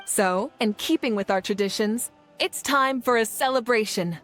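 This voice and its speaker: Stately, higher-voiced woman leader played by an actress. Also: a bit bright, soft background music, close to an everyday voice. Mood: warm, rousing. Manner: measured, stately, proclaiming. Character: dignified adult woman leader of united tribes. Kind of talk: video game voice acting, formal speech.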